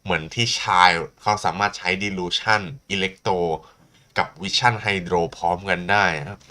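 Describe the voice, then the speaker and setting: A man's voice: deep voice, fairly even intonation, racing, bright, forceful tone. A man with a Thai accent, a native Thai speaker, giving playful, casual live commentary as a game streamer and switching languages.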